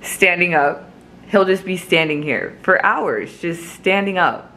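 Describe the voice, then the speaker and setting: A woman's voice: on the low side, expressive, measured pace, bright, forceful tone, low-quality recording. A woman vlogging from her home kitchen, chatting and rambling with frequent laughs. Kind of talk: casual chat to camera.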